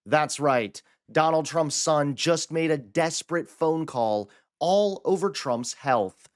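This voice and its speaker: Dry, higher-pitched male news narrator. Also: takes it slowly, no echo. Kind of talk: scripted dramatic news narration. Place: dry studio voice-over.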